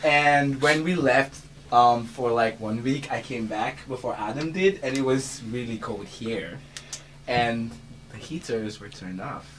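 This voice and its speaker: A man's voice: medium pitch, unhurried, a bit bright. A young man from Nigeria telling a story with laughs in a casual group chat. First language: Igala, Hausa or Yoruba. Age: young adult.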